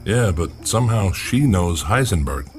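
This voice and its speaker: A skeptical investigator in a radio drama. His voice sits very low, and he talks with dry sarcasm and small hesitations. Faint music runs underneath. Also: big pitch swings, normal speed. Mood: evasive, probing. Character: skeptical, wry male investigator writing a manuscript. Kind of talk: audio drama acting.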